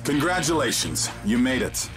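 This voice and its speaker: A male actor plays a commanding man guiding a soldier through an alien invasion in a video game. His delivery is businesslike and technical, with a lively, wide-moving pitch. Music plays behind him. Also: normal speed, natural voice. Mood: alert, directing. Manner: clipped, instructive, technical, businesslike. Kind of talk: scripted video game voice acting.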